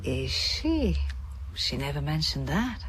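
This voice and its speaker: A lowish-voiced, chatty female carer. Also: very animated, slow, a little hiss or hum, full character voice. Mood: motherly, soothing. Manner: homely, chatty, probing. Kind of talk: radio drama acting.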